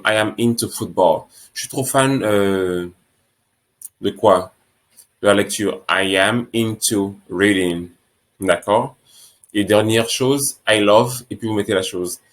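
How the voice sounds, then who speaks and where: Slow, low-voiced male English teacher. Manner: slow, repeats each phrase in French and English. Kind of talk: French-language English lesson.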